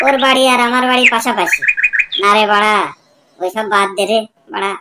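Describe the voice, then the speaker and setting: A woman's voice: medium pitch, a little fast, lightly acted. A woman voicing a blunt, slangy young village lad in a comedy cartoon. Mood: dismissive. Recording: loud birdsong and clicking noise.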